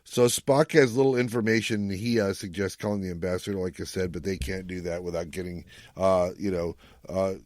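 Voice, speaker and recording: A man's voice: deep voice, steady tone, fairly quick, hardly any pauses, darkish tone, dry recording. A man hosting a podcast, casually recapping an episode and jokily paraphrasing its dialogue. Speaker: podcast host.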